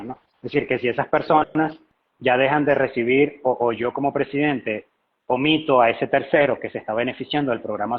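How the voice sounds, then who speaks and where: A man with a Venezuelan accent giving conversational economic commentary as a guest analyst, talkative and explanatory, often posing rhetorical questions. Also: mid-range voice. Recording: dull, lo-fi live stream audio.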